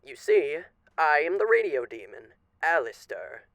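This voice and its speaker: A man's voice: on the high side, big pitch swings, a little slow, creaky, fairly bright tone, heavily acted. A man playing a suave, menacing radio demon in an ASMR roleplay, speaking with genteel, teasing, theatrical politeness. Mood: showman-like, sly.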